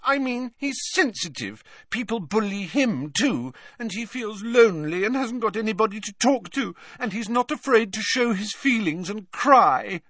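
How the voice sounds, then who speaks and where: A man's voice: sits high, lively intonation, normal speed, few pauses, a bit bright, dry recording, clean studio sound, pitched above the performer's own voice. A man voicing the glum, self-pitying ghost of a teenage girl in an audiobook, sulky and plaintive. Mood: defiant, indignant.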